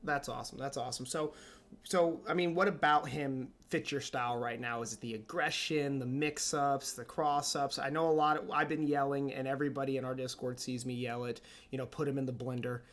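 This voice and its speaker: A man's voice: medium pitch, fairly even intonation, brisk, a bit bright. A man interviewing a player for an esports team, upbeat and rambling, often saying how awesome things are. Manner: upbeat, repeats "that's awesome", rambling questions. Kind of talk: casual interview.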